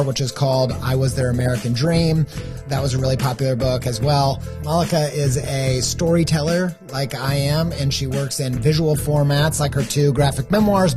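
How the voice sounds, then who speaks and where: A middle-aged man hosting a creative podcast, talking eagerly with loose, rambling restarts. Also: mid-range voice. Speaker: podcast host. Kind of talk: podcast intro and interview.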